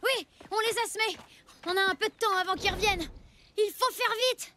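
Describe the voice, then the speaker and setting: A woman voicing a brave, caring young child hero in a cartoon dub, earnest and expressive, over music. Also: very high-pitched, brisk, slight background noise. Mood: eager. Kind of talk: animated cartoon dub acting. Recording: voice over loud background music.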